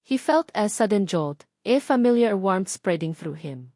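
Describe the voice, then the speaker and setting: A woman's voice: mid-range voice, a little slow, no room sound, studio quality. Woman narrating a romance story. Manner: steady storytelling, mixing in English phrases.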